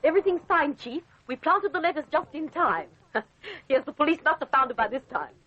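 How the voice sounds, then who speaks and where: Shrill villainous radio secretary. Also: female voice, animated, brisk, soft background music. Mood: eager. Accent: Australian. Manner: shrill, melodramatic. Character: coquettish villainous young female secretary, excitable. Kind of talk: old radio drama acting. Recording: muffled lo-fi vintage radio recording.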